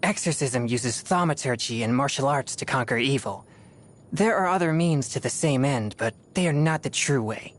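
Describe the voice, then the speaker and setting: A woman playing a solemn, disciplined teenage boy exorcist in a video game, with formal, measured speech. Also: rumbling low, expressive. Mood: solemn, composed. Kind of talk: scripted video game character voice lines.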